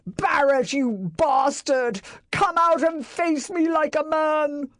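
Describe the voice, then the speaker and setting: Woman voicing a furious bellowing gentleman. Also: sits high, takes it slowly, no echo, pitched above the performer's own voice. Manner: shouting, bellowing. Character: enraged grieving man, dog owner.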